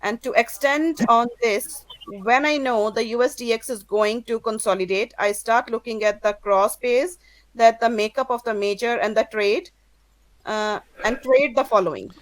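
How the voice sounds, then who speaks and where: A woman's voice: on the high side, moderate pace, no room sound, rough recording. A woman with an Indian accent, presenting a trading strategy in an online class over a video call, speaking carefully. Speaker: student presenter.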